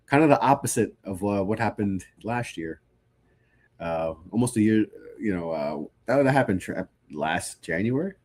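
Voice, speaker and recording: Stuffy, low-voiced man talking hoops. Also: a little mellow. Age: 30s. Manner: loose and conversational, frequent restarts. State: stuffy from a cold. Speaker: podcast host. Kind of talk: casual live-stream sports talk, reading chat.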